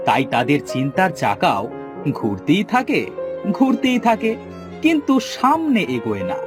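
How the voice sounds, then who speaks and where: A man's voice: high voice, huge pitch swings, a little slow, music in the background. A man narrating a children's folk-tale cartoon as a dramatic storyteller, reading a script and putting questions to the viewer.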